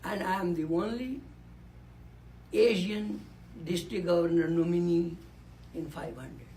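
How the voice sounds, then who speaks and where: A man's voice: high voice, measured pace, warm tone, a little hiss or hum. A man, giving a reflective speech on a conference panel into a microphone and repeating key words for emphasis. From Nepal. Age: 80s. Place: conference room. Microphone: handheld or podium microphone.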